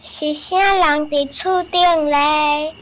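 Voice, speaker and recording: An actor playing a curious little girl full of wonder in a radio drama, speaking slowly and exclaiming in a childlike way with a Taiwanese accent. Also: sits high, steady tone, fairly bright tone, slight background noise, low-quality recording. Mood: curious, puzzled.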